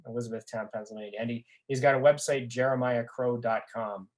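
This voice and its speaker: An androgynous-sounding speaker chats casually as a fan guest on a podcast. The delivery is earnest and a little halting. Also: medium pitch, steady tone, crowd noise. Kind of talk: casual chat.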